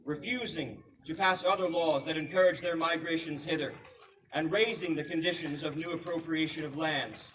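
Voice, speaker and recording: High, bright-voiced colonial statesman played by a man, declaiming. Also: far from the mic, a little hiss or hum, low-quality recording. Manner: stately, declamatory, emphatic projection. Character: male colonial American statesman reading a declaration, solemn and resolute. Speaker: historical reenactor. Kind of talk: formal public oratory, reading a proclamation aloud.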